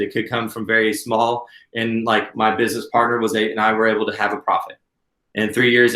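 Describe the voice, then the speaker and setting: An earnest man in his late thirties coaches a workshop, talking in a friendly, encouraging way. His voice sits at an ordinary middle pitch. The recording carries a noticeable roomy echo. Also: pushed and bright. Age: 30s. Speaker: business coach. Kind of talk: instructional workshop talk.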